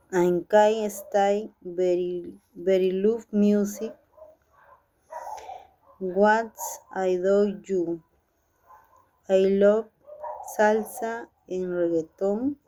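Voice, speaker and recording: A young Peruvian woman and language student reads both parts of a practice dialogue in English, with a strong Peruvian Spanish accent. She speaks slowly, with many stops and restarts. Her voice is flat and even, with a soft, mellow tone. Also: mid-range voice, slight background noise, poor audio. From Peru. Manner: halting, reads haltingly with restarts. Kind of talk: reading a practice dialogue aloud.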